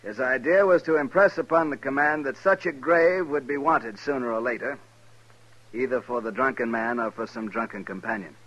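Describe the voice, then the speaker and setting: A mid-pitched, cutting male voice from an actor gives us a cold and pompous captain. He speaks formally and with smug authority, in the style of a 1950s radio western. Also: moderate pace, fairly bright tone, natural voice, rough recording. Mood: reminiscing coldly. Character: cold, smug, rigid veteran Army captain.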